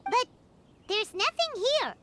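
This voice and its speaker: A woman voicing a tiny, childlike fairy companion in a video game, with a squeaky voice and an animated, cutesy, candid manner. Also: takes it slowly, slight background noise, full character voice. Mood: unsure. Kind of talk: English video game voice acting.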